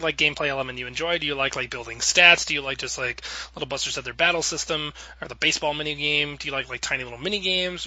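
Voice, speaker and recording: A man with a very bright, sharp voice hosts a casual podcast panel. He is informal and wordy, rewording questions as he goes. The sound is dry and close. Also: medium pitch, dry recording, rough recording. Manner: informal, wordy, rephrases his questions with examples. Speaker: podcast host and moderator. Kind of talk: casual panel discussion, putting questions to guests.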